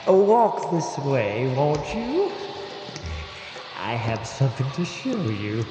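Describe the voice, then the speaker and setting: A man playing an obliging, rambling old morgue attendant who tells tales in a halting, folksy radio-play manner. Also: medium pitch, big pitch swings. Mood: welcoming, rambling. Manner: halting, folksy, eerily courteous. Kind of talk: theatrical old-time radio storytelling. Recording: echoey hall reverb, music behind.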